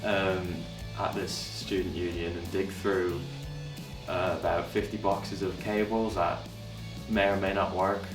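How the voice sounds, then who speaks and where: A twenty-two-year-old man, recounting things relaxed and hesitantly in an interview. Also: sits low, measured pace. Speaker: band's bass player. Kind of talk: documentary interview. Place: band practice room. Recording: echoey room, far from mic, music behind.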